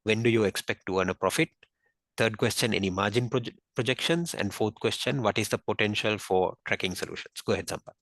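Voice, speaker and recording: Sri finance guy on an investor call, lowish voice, halting and measured, dry sound. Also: fairly bright tone, no room sound. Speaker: finance executive moderating investor questions. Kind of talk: unscripted business earnings call.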